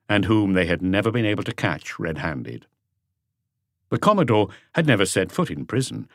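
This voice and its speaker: A man reading scripted literary narration in a measured, deliberate way, as an audiobook narrator. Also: fairly low pitch, no room sound, clean studio sound.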